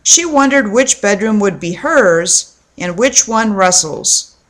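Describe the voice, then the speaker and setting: A woman narrating a children's storybook aloud in a measured, clearly phrased read-aloud delivery. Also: mid-range voice, expressive, fairly bright tone. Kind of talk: storybook narration with acted dialogue.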